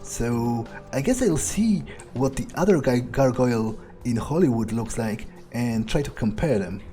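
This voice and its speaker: A man playing a video game in character, thinking aloud in an unhurried, musing way with trailing pauses. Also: mid-range voice, animated, warm tone, soft background music. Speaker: gameplay streamer playing in character.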